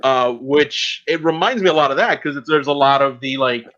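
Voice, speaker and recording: Bright-voiced man chatting haltingly. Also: mid-range voice, highs cut off. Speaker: podcast host. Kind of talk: casual chat.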